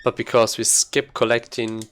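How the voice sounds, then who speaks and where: A man giving casual live commentary on his own video game run, thinking aloud in a halting way. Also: medium pitch, level tone, a bit bright, clean tone. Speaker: speedrunner commentating own gameplay.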